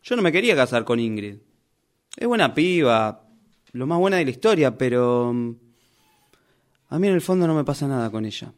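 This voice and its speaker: A man acting a defiant character from a novel in a radio play, with an Argentine Rioplatense accent. He is argumentative and reproachful, and his mid-pitched voice swings animatedly as he presses his case. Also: very animated, moderate pace, pauses often, close to an everyday voice. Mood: confessional. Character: indignant, defiant male novel character confronting his author.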